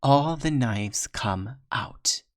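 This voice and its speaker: A man voicing a fierce woman warrior who threatens in a forceful, snarling way. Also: mid-range voice, lively intonation, very slow.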